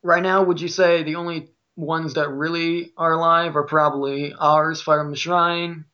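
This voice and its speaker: Midwestern American man, lightly high voice, muffled audio. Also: level tone, normal speed, sharp tone. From Midwest United States. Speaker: podcast host. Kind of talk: casual podcast discussion.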